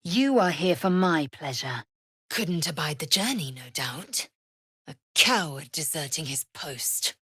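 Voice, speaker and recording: A woman's voice: sits low, animated, unhurried, a bit bright, breathy edge, dry recording, studio quality, exaggerated voice. An actress playing a fierce, proud alien warrior woman in a video game, speaking in a clipped, imperious, scornful way. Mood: scornful, commanding. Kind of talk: video game character voice lines.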